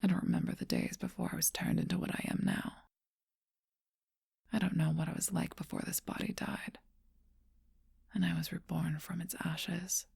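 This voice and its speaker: A seductive, ageless vampire voiced by a woman murmurs in a low, gravelly whisper close to the microphone. Her breath-heavy voice barely rises or falls, keeping a slow, teasing, possessive intimacy, like a storybook creature in an ASMR role-play. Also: a little mellow, no room sound, full character voice. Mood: wistful.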